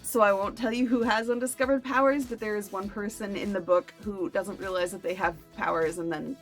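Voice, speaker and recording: A woman's voice: medium pitch, fairly even intonation, fairly quick, soft background music. A woman chatting casually to camera as a book review vlogger, with playful, self-deprecating asides.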